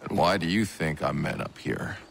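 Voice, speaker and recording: Deep, creaky, gruff militia commander. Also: male voice, moderate pace, a bit bright, slight background noise, exaggerated voice. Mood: gruff, dismissive. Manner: blunt, sardonic, commanding. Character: gruff, sardonic, battle-weary militia commander. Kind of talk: video game cutscene acting.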